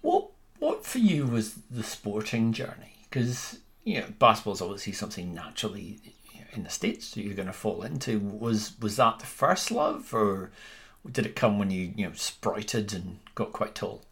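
A man's voice: fairly low pitch, big pitch swings, moderate pace, fairly bright tone. Guy interviewer, rambly questions, voice goes up and down a lot. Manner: rambling questions, many restarts. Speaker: podcast interviewer. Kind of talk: conversational interview.

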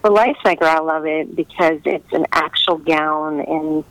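A woman's voice: deep voice, fairly even intonation, a little slow, dry recording, low-quality recording. A woman novelist chatting casually in an interview, talking in a meandering way with trailing asides.

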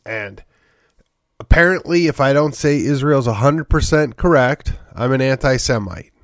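A man's voice: mid-range voice, expressive, moderate pace, no room sound, studio quality. A man hosting a solo political commentary podcast, congested from a sinus infection, rambling and wry with sighs and short laughs. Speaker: podcast host.

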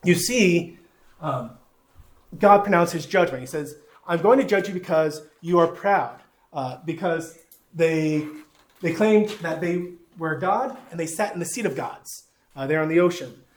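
A Southern man preaching from the Bible and pointing at a map as he teaches. His voice sits high for a man. He is folksy and pokes fun at himself, and he leaves plenty of uh's along the way. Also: animated, measured pace. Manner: folksy, self-deprecating, with frequent uh fillers. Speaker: pastor.

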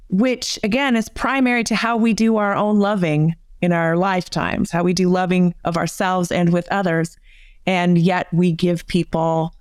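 A woman's voice: on the low side, no echo, studio quality. A woman, a psychotherapist guest, explaining her ideas in a conversational interview with many fillers. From United States.

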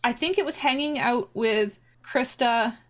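A woman's voice: a little high, unhurried. A woman talking casually and at length about building a game character, rambling with many fillers and quoting her own thoughts aloud. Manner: chatty, rambling, full of "like" and acted-out quotes. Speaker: player on a tabletop game show. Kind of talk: casual chat.

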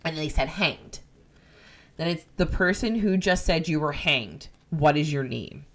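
A woman with a New Jersey accent, hosting a podcast and retelling a ghost-hunting episode in animated, profane casual chat. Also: sits low, unhurried. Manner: animated, profane, jumps into quoted voices. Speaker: podcast host.

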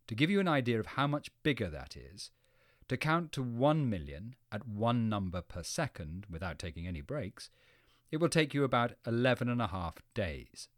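A man reading scripted educational narration as a programme narrator, explaining things in clearly phrased sentences. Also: mid-range voice, no room sound, studio quality.

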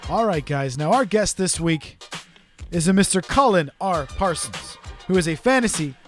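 A man's voice: high voice, animated, normal speed, music in the background. Chatty playful man hosting a podcast. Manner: chatty, playful promo delivery. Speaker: podcast host. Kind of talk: casual podcast interview. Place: podcast studio.

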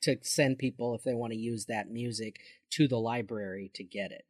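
A man's voice: medium pitch, fairly even intonation, moderate pace, no room sound, clean studio sound. A man in his forties chatting casually as a podcast co-host, encouraging and supportive toward his friend. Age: 40s.

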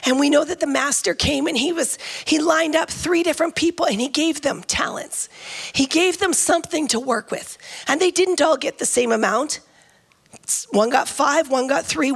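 A woman's voice: high-pitched, creaky, a bit bright. A woman preaching a sermon, earnest and emphatic, often repeating phrases as she builds a point.

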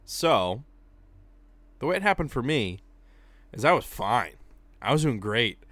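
A young man co-hosting a casual podcast, hoarse from a cold, recounting things step by step. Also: mid-range voice, unhurried, no room sound. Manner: tells events step by step, plain and steady. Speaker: podcast co-host. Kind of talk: casual chat.

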